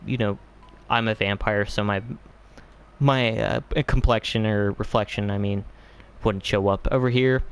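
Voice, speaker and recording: A man's voice: on the low side, fairly even intonation, measured pace, clean tone. A man giving a casual, rambling game tour as video host, hesitant and often trailing off into vague asides.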